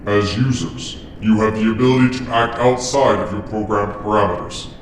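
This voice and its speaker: A man performs an imperious computer ruler in a roleplaying game, speaking in a lowish, roomy voice. The delivery is cold, formal and measured, as commands from a machine. It sits back from the mic with faint noise behind it. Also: distant mic, close to an everyday voice. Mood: cold, commanding. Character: imperious, cold master control computer program, male.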